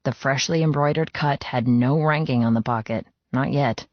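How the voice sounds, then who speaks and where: A man's voice: medium pitch, normal speed, dry recording, dull audio. A man narrates fiction in the first person, reading a dramatic script in a mid-range voice. The sound is close and dry, with a slightly dulled top end. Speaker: audiobook narrator.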